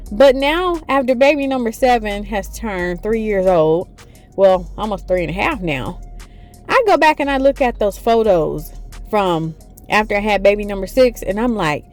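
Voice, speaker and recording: A woman in her forties giving an encouraging fitness vlog, often checking in with the viewer. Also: medium pitch. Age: 40s. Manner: encouraging, keeps checking in with "okay?". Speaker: fitness vlogger. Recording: echoey room, voice from a distance, music behind.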